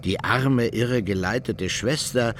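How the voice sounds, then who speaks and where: A man's voice: medium pitch, unhurried, a bit bright, a little hiss or hum, natural voice. An actor playing a sardonic villager who judges his neighbours with dry, bitter irony in a radio drama. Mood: bitterly ironic. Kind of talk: literary radio drama narration.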